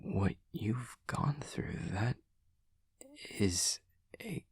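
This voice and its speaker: A man acts out an awkward, caring young male demon classmate for an audio roleplay. His voice is low and husky, recorded close and dry. He picks his words slowly, in stilted, formal phrases with hesitant gaps. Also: lively intonation, no echo, in a whisper, heavily acted. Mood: stunned, halting.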